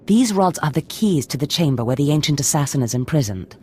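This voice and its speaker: A woman's voice: deep voice, lively intonation, fairly quick, a little mellow, a little hiss or hum. An actress voicing a scheming female Sith lord who speaks in a languid, commanding way. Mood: imperious. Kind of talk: game voice acting.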